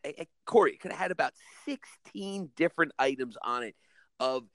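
A man's voice: on the high side, lively intonation, brisk, a bit bright, no room sound. A man co-hosting a podcast, who teaches school, talking earnestly in a rambling way with many restarts and a commanding voice. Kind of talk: casual podcast chat.

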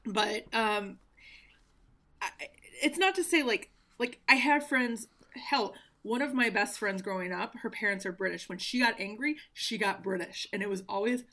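A woman's voice: medium pitch, moderate pace, sharp tone. A young woman from Arkansas with a faint, mostly neutral American accent, sarcastically reading a novel aloud and swearing freely in casual podcast chat. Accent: faint General American with little Southern trace. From Arkansas, US South.

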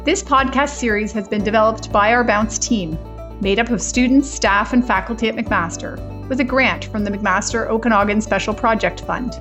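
A woman's voice: a little high, normal speed, over music. A woman hosting an interview podcast, reading a scripted intro and then chatting with frequent restarts. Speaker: podcast host.

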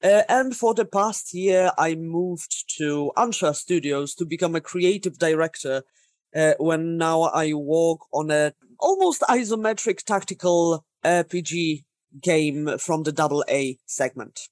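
A woman's voice: low voice, a little slow, darkish tone, no echo, clean studio sound. A Polish woman in her thirties, a game designer talking casually in a podcast interview, self-deprecating and laughing often. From Poland.

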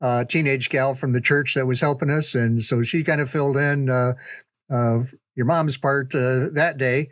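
An older man casually recounting family memories in a halting, unhurried storytelling way. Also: mid-range voice, fairly even intonation, no echo, clean studio sound. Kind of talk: casual reminiscing.

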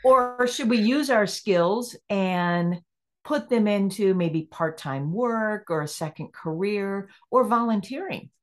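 A middle-aged woman guest on a podcast takes her time, speaking at an unhurried pace. Her voice sits in a middle register. She thinks out loud and breaks into easy laughter. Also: medium pitch, lively intonation. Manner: reflective, acts out inner questions, laughs easily. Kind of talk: conversational interview.

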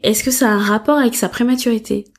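A woman hosting a podcast, interviewing her guest with gentle, slightly hesitant questions. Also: on the high side, fairly even intonation, fast. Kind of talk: conversational interview.

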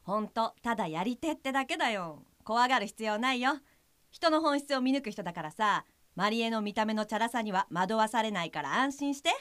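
A woman performs a cheerful young female friend in an audio drama. Her high, bright voice is lively and reassuring, with a dry, close studio sound. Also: brisk, no echo.

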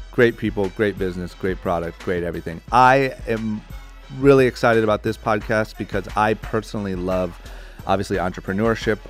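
A man hosting an interview podcast, talking in an eager, rambling way with lots of fillers and restarts. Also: low-pitched. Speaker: podcast host. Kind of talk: casual interview chat.

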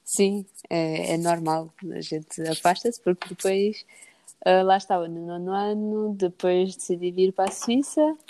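A woman's voice: lowish voice, a little slow, mellow. A woman with a European Portuguese accent giving casual, conversational answers as a podcast guest.

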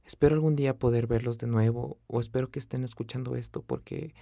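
A man's voice: medium pitch, fairly even intonation, fast, gravelly, warm tone, no room sound, clean studio sound. A young man from Quito with an Ecuadorian accent, telling his own life story in an earnest, confessional way. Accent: Quito Ecuadorian Spanish. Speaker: podcast host. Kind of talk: personal storytelling monologue. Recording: faint music underneath.

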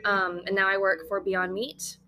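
A woman's voice: mid-range voice, level tone, normal speed, sharp tone. A young woman from Houston, a yoga instructor guesting on a podcast, dry and teasing with a soothing voice. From Houston, Texas. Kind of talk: casual podcast chat.

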